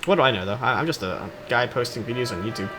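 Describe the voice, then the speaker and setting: A man hosts a video with loose, informal commentary on a game. His mid-pitched voice moves around a lot, animated and joking. Music plays clearly underneath him. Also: fast. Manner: joking, self-deprecating, informal. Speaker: YouTube video host. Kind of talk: casual rambling commentary on game cutscenes.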